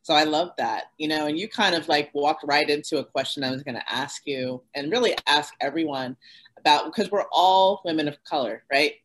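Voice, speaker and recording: A woman's voice: low-pitched, fairly quick, fairly bright tone, rough recording. A woman hosting a casual panel conversation, asking open questions with affirming asides and fillers. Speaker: host.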